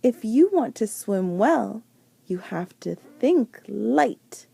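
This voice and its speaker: A woman's voice: on the high side, sing-song, takes it slowly, vocal fry, dark tone, husky, no room sound, heavily acted. A woman voicing an encouraging, patient male swimming teacher in a storybook, coaxing and reassuring with emphatic delivery. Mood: coaxing, instructive.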